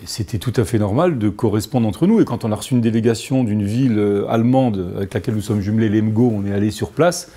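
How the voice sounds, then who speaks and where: Husky, mellow man in his fifties. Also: mid-range voice. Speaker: mayor being interviewed. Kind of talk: spontaneous interview answer. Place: office or meeting room indoors.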